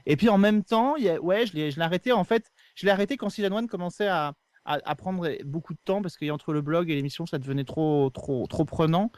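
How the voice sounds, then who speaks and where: Fast-talking man with a higher-pitched voice. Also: darkish tone, clear, resonant tone, no room sound. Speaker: podcast co-host being interviewed. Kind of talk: casual conversational interview answers.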